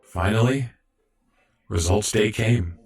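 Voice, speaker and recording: A man's voice: fairly low pitch, slow, a little mellow, slight background noise. A man playing an earnest young robot trainee medic who narrates his story in an audio drama. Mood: earnest, reflective. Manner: earnest, explanatory.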